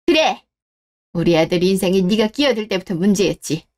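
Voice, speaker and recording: A woman's voice: mid-range voice, expressive, normal speed, darkish tone, close to an everyday voice. A woman playing a scornful, possessive middle-aged mother-in-law in a scripted radio drama, scolding and accusing. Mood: bitter, scornful.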